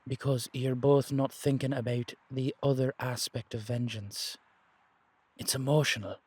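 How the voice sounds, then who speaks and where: A man acting a shrewd, streetwise investigator in an audio drama, speaking deliberately and persuasively in halting, emphatic phrases. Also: medium pitch, fairly even intonation, a little mellow, slightly rough. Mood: intent, explaining. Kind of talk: dramatic audio roleplay dialogue.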